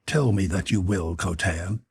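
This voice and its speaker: Audiobook role: steadfast warrior hero, played by a male narrator, earnest, gentle, husky, close and dry. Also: low-pitched, a little slow, dry recording, full character voice. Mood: tender, resolved. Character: steadfast, tender warrior man, adventure hero. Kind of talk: audiobook character voice.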